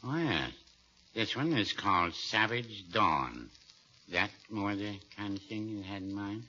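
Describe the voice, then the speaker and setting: An actor playing a mild, cautious old small-town American druggist with a hesitant, dry manner in 1940s radio comedy. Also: male voice, on the low side, big pitch swings, measured pace, pushed and bright, exaggerated voice. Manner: hesitant, noncommittal, droll. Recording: very noisy, muffled old radio recording.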